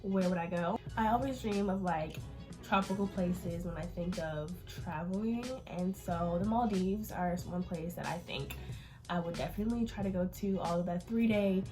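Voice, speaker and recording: A woman's voice: on the low side, moderate pace, over music. An eighteen-year-old woman vlogger with a nasal, congested voice from allergies, chatting casually through a Q&A. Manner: chatty, informal, lots of fillers. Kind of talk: casual Q&A chat to camera.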